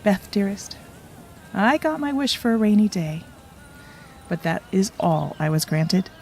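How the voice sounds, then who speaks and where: A woman's voice: medium pitch, lively intonation, measured pace, creaky, darkish tone, soft background music. A woman playing a wry, self-doubting young time traveler in an audio drama, with a wistful, confiding manner. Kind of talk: audio drama, reflective letter narration and dialogue.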